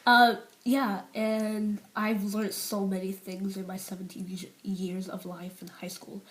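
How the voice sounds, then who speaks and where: A woman's voice: mid-range voice, level tone, measured pace, poor audio. A seventeen-year-old girl from the UK, talking openly to camera with lots of fillers and restarts, her voice sometimes dropping to a near whisper. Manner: rambling, many fillers and restarts; drops to a near whisper on painful topics. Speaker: vlogger. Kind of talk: unscripted personal confession to camera.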